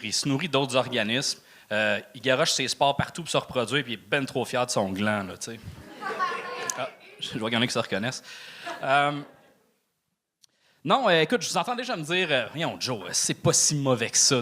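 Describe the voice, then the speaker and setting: A man with a Québécois accent performing a stand-up routine, delivering crude punchlines flatly between filler pauses. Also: mid-range voice. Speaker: stand-up comedian. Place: comedy club stage.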